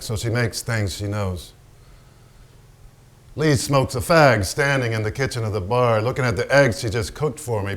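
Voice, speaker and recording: Boozy genius painter, profane and boastful, low male voice, sardonic theatrical monologue man acting. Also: lightly acted. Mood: wry, brooding. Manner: declamatory, crude, sardonic. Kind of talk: theatrical staged reading, monologue.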